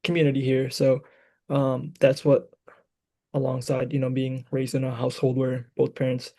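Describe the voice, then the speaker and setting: A man's voice: medium pitch, completely flat delivery, normal speed, mellow. A young man from Michigan, a graduate student, chatting casually as a podcast guest, hesitant, with many fillers. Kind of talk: casual chat.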